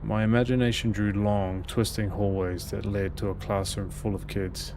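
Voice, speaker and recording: A man's voice: on the low side, flat delivery, normal speed, noisy background. A man reading visual novel narration aloud as a let's play host, occasionally stumbling and repeating phrases. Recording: game music behind the voice. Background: horror game music.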